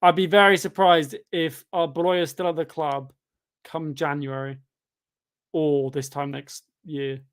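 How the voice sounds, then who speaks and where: A twenty-year-old man from Devon with a Devon accent, chatting casually and rambling on a football watchalong stream, with sudden loud outbursts at chances. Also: a little high, fairly even intonation, unhurried, clean tone. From England. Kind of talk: casual live-stream chat reacting to a match and reading chat.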